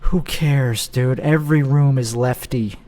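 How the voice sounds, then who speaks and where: A man's voice: mid-range voice, measured pace, mellow. A young man hosting a casual solo show, talking bluntly and rambling with self-mocking asides. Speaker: podcast host.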